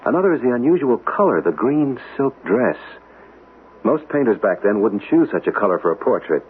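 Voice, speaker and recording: Earnest, lively-pitched radio-drama man. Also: fairly quick. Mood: absorbed. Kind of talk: 1970s radio drama acting. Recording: dull lo-fi radio, faint noise.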